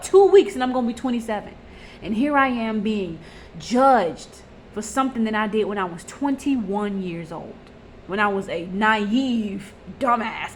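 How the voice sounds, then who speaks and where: A woman's voice: fairly high pitch, fairly bright tone, a little hiss or hum. A woman, talking bluntly and rawly in a personal confessional vlog, repeating herself and using many fillers. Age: 20s. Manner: blunt and raw, vents with frequent fillers and repeats. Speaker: vlogger.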